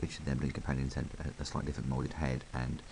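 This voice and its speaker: A man talks through a toy review in a very low voice, croaky and gravelly from hay fever. He rambles casually, stopping for ums and small asides as he handles the piece, with a faint hiss of noise behind him. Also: little pitch movement, very fast. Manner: chatty, rambling, full of ums.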